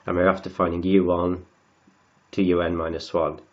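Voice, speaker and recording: A man with a cold, croaky and sniffly, working slowly through textbook maths and often repeating words as he writes. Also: sits low, flat delivery, lo-fi sound. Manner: halting, repeats words while writing. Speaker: maths tutor.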